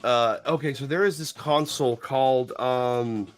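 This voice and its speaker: Brief: male co-host, stuffy head cold, excitable, swears freely, stumbles over words, faint music behind. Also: medium pitch, unhurried. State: head cold, losing his voice. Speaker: podcast co-host. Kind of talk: casual livestream chat.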